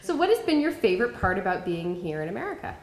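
A woman's voice: medium pitch, very animated, fast. A woman interviewing visiting students off camera, asking friendly, encouraging follow-up questions. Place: school library, off camera. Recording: roomy library echo.

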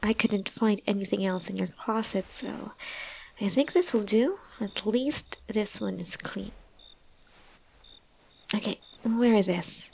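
A woman playing a gentle, doting young woman in an ASMR roleplay, soft and breathy, often near a whisper, soothing and lightly teasing. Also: medium pitch, slow pace, pauses often, heavily acted, poor audio. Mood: caring, coaxing. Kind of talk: ASMR roleplay, intimate one-sided dialogue.

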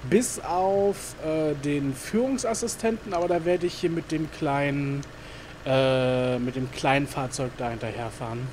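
Man, gaming commentary, fairly high voice, rambling with fillers, faint hiss. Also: measured pace, darkish tone. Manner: rambling, many fillers, mutters to himself. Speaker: gaming YouTuber. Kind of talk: casual let's-play commentary.

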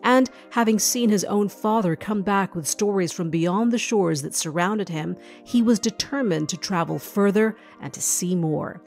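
A woman's voice: medium pitch, moderate pace, hardly any pauses, a little mellow, soft background music. A woman hosting an interview podcast, reading a polished introduction and asking her guest questions. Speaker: podcast host.

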